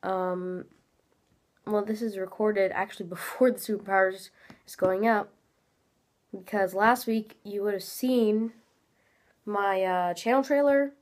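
A woman's voice: medium pitch, a little slow, pauses often, darkish tone. Chatty congested teenage girl gamer. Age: teens. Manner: chatty, rambling, many fillers. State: congested, a little nasal. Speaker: gaming channel host. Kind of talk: casual gameplay commentary. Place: small room at home, indoors.

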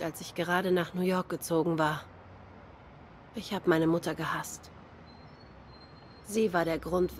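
A woman's voice: lowish voice, slight background noise, lightly acted. An actress playing a grieving young cat woman in a noir game, guarded, bitter and sardonic in a subdued way. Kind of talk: noir game dialogue acting.

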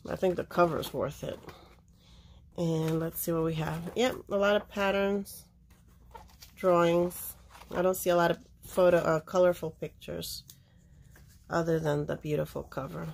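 A woman's voice: lowish voice, steady tone, a little slow, vocal fry, long pauses, a little mellow, a little hiss or hum. A woman casually showing old books for sale, chatting and rambling as she flips through pages. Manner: chatty, rambling, trails off mid-sentence. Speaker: seller showing books for auction. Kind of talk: casual show-and-tell. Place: indoors at a table of books.

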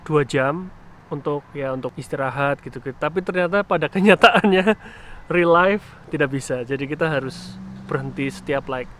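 Young man, relaxed vlogger, fairly high voice, faint outdoor noise, camera at arm's length. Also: a little fast. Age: young adult. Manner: relaxed, explains to viewers, mixes Indonesian and English. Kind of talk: casual travel vlog. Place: outdoors in a park rest area. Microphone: handheld camera at arm's length.

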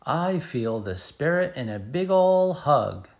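A man reading a picture book as an excited little schoolgirl, expressive, with emphatic sound-effect words. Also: a little high, takes it slowly, heavily acted. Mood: eager, upbeat. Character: excited little schoolgirl telling her first day. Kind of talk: picture book read-aloud.

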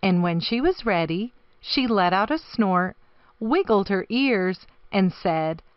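A woman reading a children's picture book aloud expressively, with deliberate, dramatic phrasing. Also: mid-range voice, expressive, smooth, no echo, dull audio. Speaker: storybook reader.

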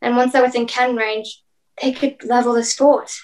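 A woman's voice: a little high, flat delivery, normal speed, darkish tone, natural voice, low-quality recording. A young woman playing a resolute, commanding ship's captain in an amateur radio play, speaking firmly. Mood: grave.

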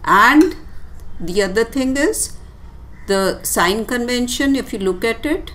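A woman teacher giving a step-by-step tutorial explanation in a deliberate, instructive way with short, pausing phrases. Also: a little high, lively intonation, a bit bright. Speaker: physics teacher. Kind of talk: step-by-step tutorial explanation over handwritten working.

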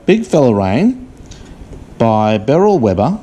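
A woman with a very deep voice reads a children's storybook at an unhurried pace. Her delivery is measured but lively in intonation, slipping into casual questions for a small child. It is a home camera recording with some room noise. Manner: measured storybook phrasing, asking simple questions. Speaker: parent reading aloud to a small child. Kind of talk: picture-book reading mixed with casual chat to a child. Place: living room indoors.

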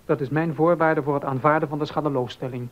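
A man's voice: a little high, steady tone, quick pace, natural voice. A male actor playing an aggrieved, insistent church musician in a docudrama, formal and indignant in manner. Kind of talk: Dutch docudrama acting. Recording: dull lo-fi recording.

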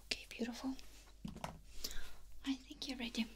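A woman in her twenties speaking in a soft, breathy whisper, gently giving close-up personal attention in an ASMR style. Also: fairly high pitch, level tone, moderate pace. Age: 20s. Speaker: ASMR host. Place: small furnished room indoors. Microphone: close ASMR microphone, off screen.

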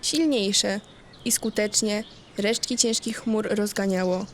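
A woman of medium pitch narrates a children's story from a script. Chirping birds and noticeable background noise run behind her voice. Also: fairly even intonation, slow pace.

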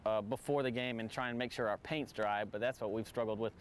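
A man in his thirties talks about his work in an easy, halting way, full of ums and restarts. He is recorded on a lapel mic outdoors in an empty stadium. A faint hum of the outdoors sits behind him. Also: on the low side, steady tone. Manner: hesitant, many ums and repeated words. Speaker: stadium field manager. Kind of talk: casual interview answers.

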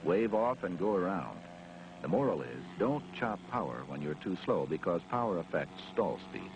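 An American man with a deep voice narrates an instructional film. His delivery is emphatic and his intonation rises and falls in a lively way. The sound is an old 1970s film track with clear noise behind the voice. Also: moderate pace.

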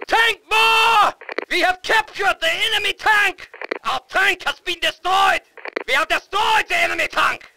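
A man's voice: very high-pitched, background noise, full character voice. A man playing a fervent German army soldier in a war video game, barking urgent, clipped battle announcements. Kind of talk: shouted combat announcements.

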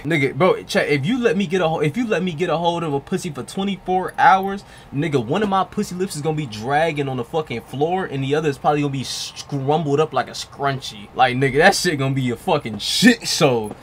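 Loud, profane young male streamer. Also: fairly high pitch, fairly quick, hardly any pauses, slight background noise. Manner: loud, joking, profane, talks to chat. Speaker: streamer reacting to a video. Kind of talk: crude reaction commentary. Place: bedroom streaming setup. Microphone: headset.

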